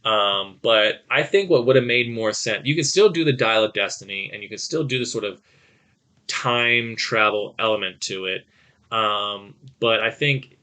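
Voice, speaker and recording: A man co-hosting a podcast, giving a casual movie review in a halting, rambling storytelling way with acted-out quotes. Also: fairly low pitch, bright, forceful tone. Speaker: podcast co-host.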